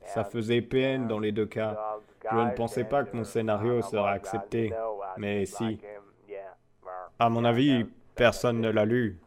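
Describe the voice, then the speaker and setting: A man doing a hesitant, deadpan voice-over translation for a mock interview. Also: fairly low pitch, a little slow, a crowd in the background, lightly acted.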